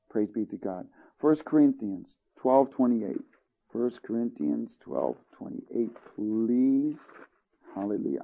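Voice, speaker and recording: A man's voice: medium pitch, narrow, tinny audio. A man preaching a sermon, reading scripture aloud and checking in with listeners as he teaches. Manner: earnest, calls out "Amen?" and "Hallelujah" to listeners.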